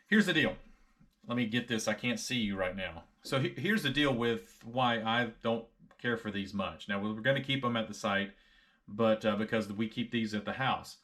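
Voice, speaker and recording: A man's voice: mid-range voice, bright, forceful tone. A middle-aged American man reviewing a product casually to camera, frank and conversational, often repeating himself.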